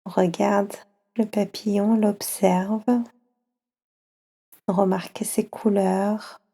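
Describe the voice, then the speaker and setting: A woman with a French accent guiding children through a slow yoga nidra relaxation, speaking softly and soothingly with long pauses. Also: medium pitch, little pitch movement, vocal fry, breathy edge.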